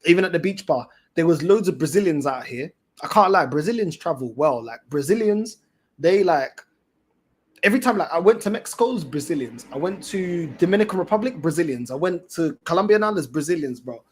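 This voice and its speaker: A man's voice: a little high, expressive, fairly quick, slightly rough. A man with a London accent chatting casually as a livestream host, in a slangy, repetitive, emphatic way. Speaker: fan channel host.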